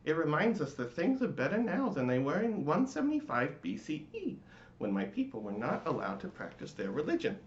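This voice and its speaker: A man's voice: a little high, wildly swooping pitch, fairly quick, roomy sound, dull audio, a little hiss or hum, pitched above the performer's own voice. A man voicing an indignant, exasperated talking latke in a storybook, emphatic and aggrieved as it explains itself. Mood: exasperated, insistent.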